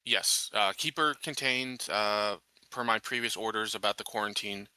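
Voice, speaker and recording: A man's voice: on the low side, normal speed, sharp tone, clean tone, no echo, rough recording. A man playing a tabletop game, chatting casually and stammering a little as he asks and answers. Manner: hesitant, stammering.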